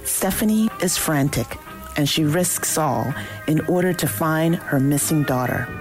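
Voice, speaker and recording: A woman's voice: low-pitched, expressive, a little slow, soft background music, low-quality recording. A woman hosting an audio drama, reading scripted promotional narration. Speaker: show host and announcer.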